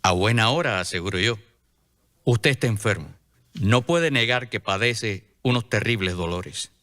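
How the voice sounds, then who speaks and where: Worried friar, bright forceful voice, lowish pitch, firm, urgent, caring, radio drama scolding. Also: male voice, expressive, moderate pace. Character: worried, insistent friar scolding his sick brother. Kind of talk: religious radio drama acting.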